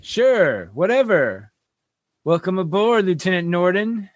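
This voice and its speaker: An actor playing a flustered, grumbling space station captain in a comedy sci-fi radio show. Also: male voice, sits high, very animated, a little slow, no room sound. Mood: awkward, put out.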